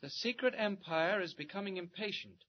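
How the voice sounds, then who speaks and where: Casting: earnest, decisive radio-serial hero, high register, quick-thinking, on a dull vintage recording. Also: male voice, animated. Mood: thoughtful, resolute. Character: brave, quick-thinking man, reporter who is secretly a superhero. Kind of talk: 1940s radio serial acting. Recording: muffled, noisy 1940s radio recording.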